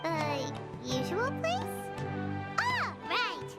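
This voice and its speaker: A woman voicing a tiny, excitable childlike fairy companion in a video game, squeaky and exclamatory. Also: very slow, full character voice. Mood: excitable, encouraging. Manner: bubbly, exclamatory. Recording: loud music under the voice.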